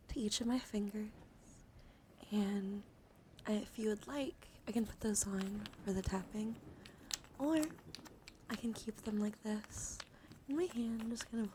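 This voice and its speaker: A woman doing live ASMR in a soothing, intimate, encouraging way, drifting between breathy whispers and soft speech. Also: medium pitch. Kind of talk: ASMR, whispered and softly spoken reassurance. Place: small warm room with computers running. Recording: noisy room ambience behind voice.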